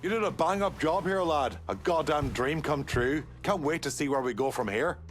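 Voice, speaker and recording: A man playing an gangster with a fairly high voice. He is cheery and rough-spoken, a criminal ally in a game scene, with music behind him. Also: animated, normal speed, close to an everyday voice. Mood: pleased relief. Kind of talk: video game cutscene acting.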